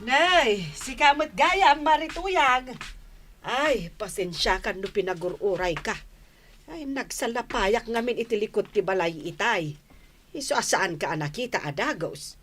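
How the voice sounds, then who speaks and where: A woman acting a busy, apologetic housewife in an Ilocano radio comedy drama, expressive and given to explaining herself. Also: fairly high pitch, measured pace, a little hiss or hum. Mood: apologetic, friendly.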